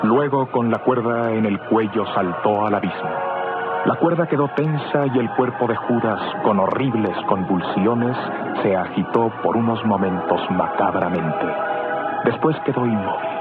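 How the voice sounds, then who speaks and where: A man's voice: fairly low pitch, unhurried. A man giving solemn, declamatory scripted narration for an old radio drama serial. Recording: muffled old radio broadcast recording. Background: loud dramatic music.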